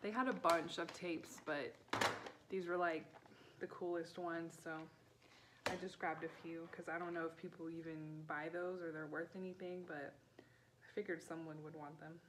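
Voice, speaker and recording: A woman in her thirties chatting casually in a low-key, offhand way, as if showing finds to viewers at home. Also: steady tone, normal speed. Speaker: vlogger and online vintage reseller. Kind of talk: casual haul video chat. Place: small living room at home, indoors. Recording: clear background room noise.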